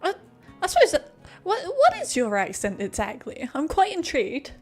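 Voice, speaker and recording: A woman streaming a game, chatting casually with a friend in an excitable, jokey, sweary way. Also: sits high, moderate pace. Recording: music behind the voice. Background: music.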